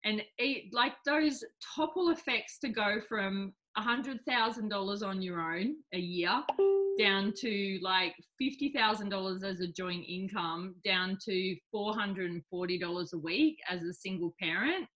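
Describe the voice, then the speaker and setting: Croaky woman, bright voice. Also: medium pitch, measured pace, rough recording. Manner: open, chatty, self-deprecating, laughs easily. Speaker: guest speaker. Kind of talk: casual personal story on a video call. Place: small home office. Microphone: laptop webcam on a video call.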